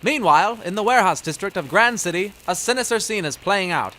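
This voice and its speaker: A man's voice: high voice, expressive, a little fast, noisy background. A man narrating and reading bulletins as an announcer in an old-time 1920s radio style.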